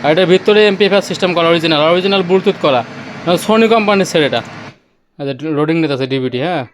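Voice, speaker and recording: A man's voice: high-pitched, fast, a little hiss or hum. A man with a Bangladeshi accent giving a casual product demonstration as a shop seller, explaining hands-on and repeating himself. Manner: repetitive, hands-on showing and explaining. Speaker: electronics shop owner and seller. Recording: music playing from demonstrated player. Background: music from a player.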